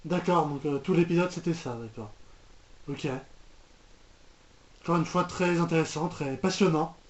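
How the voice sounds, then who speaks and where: A young man with a high voice reacts casually to what he is watching. He speaks haltingly, feeling for his words, in an incredulous tone. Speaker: reaction video host.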